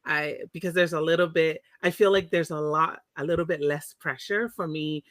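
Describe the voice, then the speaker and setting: A woman's voice: low-pitched, steady tone, normal speed, a bit bright, dry recording. Reflective woman from Tonga in a dry room. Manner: reflective, frequent restarts and self-corrections. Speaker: interview guest. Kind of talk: candid personal storytelling.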